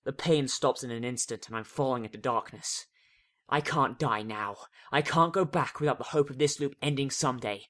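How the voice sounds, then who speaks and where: A theatrical, expressive reader narrating a fan fiction story in a dramatic audiobook style. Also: mid-range voice, moderate pace.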